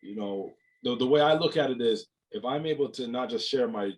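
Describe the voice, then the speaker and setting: A man's voice: medium pitch, fairly quick, rough recording. A young man from Jamaica, a guest on a video call, talking in a reflective, casual way with many fillers and repeated words. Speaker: guest, mental health speaker. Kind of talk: casual interview conversation. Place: home room, indoors.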